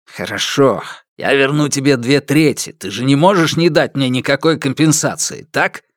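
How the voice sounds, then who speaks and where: A man's voice: mid-range voice, normal speed, dry recording, clean studio sound, lightly acted. Man acting a defensive, shifty, indignant family man. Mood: bargaining. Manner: indignant, evasive, bargaining. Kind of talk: audiobook character acting.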